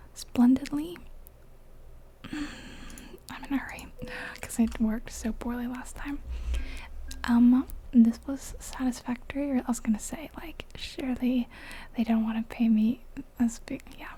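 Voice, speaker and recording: A woman's voice: high voice, warm tone, low-quality recording. A woman whispering her way through a game in a chatty, rambling ASMR style. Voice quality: breathy unvoiced whisper. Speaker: ASMR gaming creator. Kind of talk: whispered ASMR gameplay commentary.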